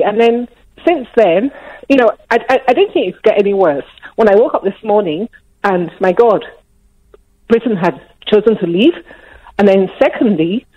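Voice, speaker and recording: A woman's voice: medium pitch, mellow, slightly rough. Upset Nigerian-accented woman calling in. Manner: emotional, upset, talks in rushes with many restarts. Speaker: caller. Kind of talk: radio phone-in call. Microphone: phone. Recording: muffled phone line.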